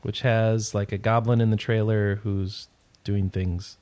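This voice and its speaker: A man's voice: on the low side, little pitch movement, measured pace, darkish tone, no room sound. A man hosting a casual podcast, reading out gaming news with rambling, sarcastic asides that trail off into mumbles.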